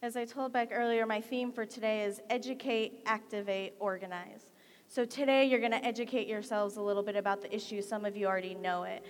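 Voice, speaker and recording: A young woman from California giving an earnest, measured talk as a guest speaker at a campus assembly. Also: medium pitch, steady tone. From Central Valley, California, United States. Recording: long hall reverb.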